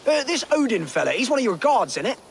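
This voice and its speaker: High-pitched, grumbling merchant. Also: male voice, big pitch swings, fast talker, vocal fry, noisy background, full character voice. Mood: impatient. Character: fussy, grumbling, easily alarmed English merchant man. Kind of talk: video game acting.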